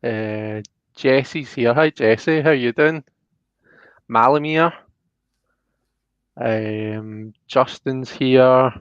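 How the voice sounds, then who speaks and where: A man's voice: medium pitch, drawn-out words, long pauses, clear, resonant tone, dry recording, muffled recording. A man hosting a casual live stream in a chatty, informal, friendly way. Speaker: host.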